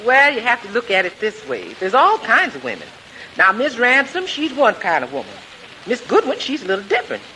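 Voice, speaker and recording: A woman's voice: fairly high pitch, huge pitch swings, brisk, sharp tone, heavily acted, low-quality recording. Lilting, motherly household cook. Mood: diplomatic. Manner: colloquial, reassuring. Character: motherly, folksy woman household cook, soothing. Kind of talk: 1940s radio comedy acting. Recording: hissy old radio recording.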